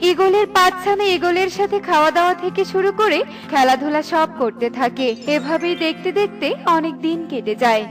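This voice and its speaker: A woman's voice: very high voice, brisk. A woman narrating a scripted children's story. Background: faint music.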